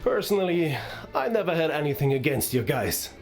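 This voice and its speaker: A man's voice: fairly high pitch, very animated, a little fast, creaky, faint music behind. A man playing a friendly former farmer turned soldier in a video game.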